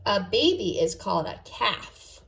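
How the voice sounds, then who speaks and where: A woman's voice: medium pitch, lively intonation, unhurried, creaky, a bit bright. A woman teacher reading aloud to young children, enunciating carefully and adding short explanatory asides. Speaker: first grade teacher.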